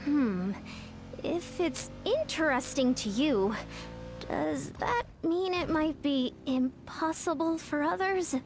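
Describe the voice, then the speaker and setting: A woman voicing a bubbly, excitable young female coach in a video game, with animated, announcer-like delivery. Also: sits high, takes it slowly, over music, exaggerated voice. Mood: friendly concern.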